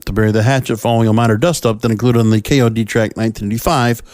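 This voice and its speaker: A man speaking as a podcast host, giving solo commentary in halting, short phrases. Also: medium pitch, fairly even intonation, a little mellow, dry recording, clean studio sound.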